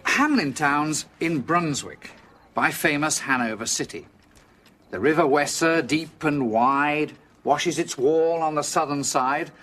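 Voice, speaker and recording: A man playing a theatrical storyteller who recites a rhyming tale in measured, expressive verse. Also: a little high, a little hiss or hum. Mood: grave, lyrical. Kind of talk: dramatic verse narration.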